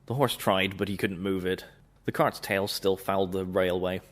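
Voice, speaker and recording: A man's voice: low voice, normal speed. Man reading a storybook aloud. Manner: steady, expressive narration. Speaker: narrator.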